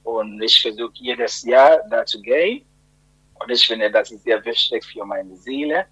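A man's voice: medium pitch, a little slow, slightly rough. Man from Ghana, dull radio sound. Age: 30s. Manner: relaxed, repeats words, simple German with slips. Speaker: musician guest. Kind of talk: radio interview.

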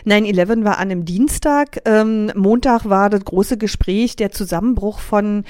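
Middle-aged East Berlin woman, radio guest, lively storyteller, dry booth sound. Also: mid-range voice, moderate pace, no room sound. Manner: lively storytelling with many fillers and restarts. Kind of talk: casual radio interview.

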